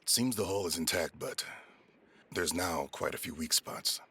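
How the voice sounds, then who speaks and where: A man playing a gruff, practical, loyal ship's first mate with a raspy voice in an audio drama. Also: sits low, expressive, normal speed, pushed and bright, a little hiss or hum. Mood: matter-of-fact.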